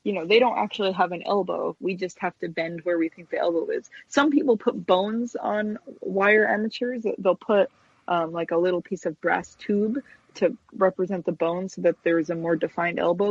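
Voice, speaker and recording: A woman's voice: fairly low pitch, normal speed, breathy edge, dry recording. A chatty woman guest, an animator explaining her craft casually in an interview over dull web audio. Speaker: guest stop-motion animator and puppet maker.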